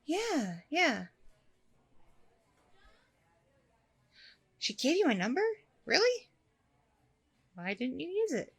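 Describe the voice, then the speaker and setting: A woman's voice: high voice, very animated, a little slow, vocal fry, a bit bright, exaggerated voice. A woman playing a friendly, flustered, flirty single mom on a first date in an ASMR roleplay, chatty, hesitant and gushing. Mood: surprised, pleased. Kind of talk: ASMR roleplay acting, one side of a dialogue.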